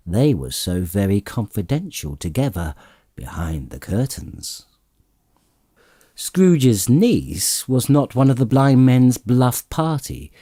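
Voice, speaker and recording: A man narrating a classic story as an audiobook, reading in an expressive, theatrical way with dramatic emphasis. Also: lowish voice, no room sound, studio quality.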